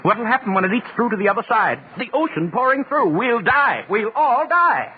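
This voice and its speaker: A man's voice: very high-pitched, big pitch swings, moderate pace. An actor playing a terrified townsman in a 1940s radio drama. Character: terrified townsman fearing death. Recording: narrow-band, noisy 1940s radio recording.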